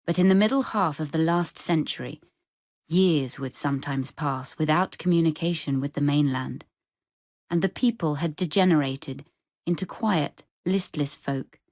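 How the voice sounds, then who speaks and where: A woman reading literary fiction for radio in a measured, descriptive storytelling manner. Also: low-pitched, a little mellow, dry recording, clean studio sound. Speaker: short story reader. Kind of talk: scripted literary narration for radio drama.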